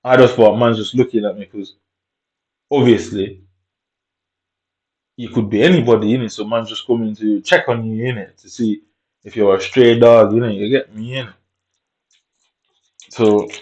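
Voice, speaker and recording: A man's voice: fairly low pitch, fairly even intonation, moderate pace, long pauses, a little mellow. A man telling a story in a casual monologue, in streetwise slang, swearing and tagging lines with "innit". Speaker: vlogger. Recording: dull lo-fi recording.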